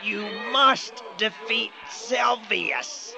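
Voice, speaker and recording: An actor playing a grave, pleading trapped dead soul, speaking in halting, ominous, theatrical phrases in a horror audio drama. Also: male voice, sits high, creaky, crowd noise, heavily acted. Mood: urgent, ominous.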